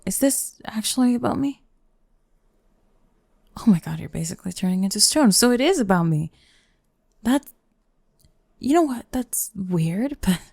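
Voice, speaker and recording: A woman's voice: mid-range voice, darkish tone, dry recording. A young woman playing a sardonic goth bully girl in an intimate ASMR roleplay, grumbling and teasing the listener up close. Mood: teasing curiosity, then smug teasing. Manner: teasing, grumbling, sighing, dropping into breathy murmurs. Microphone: close microphone, dry.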